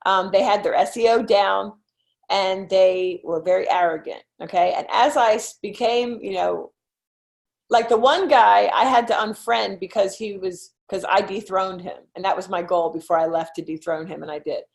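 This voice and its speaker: A woman's voice: medium pitch, steady tone, moderate pace, vocal fry. A middle-aged woman with a Philadelphia accent, a real estate coach giving a chatty online presentation full of fillers and "okay?" check-ins. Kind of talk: webinar presentation.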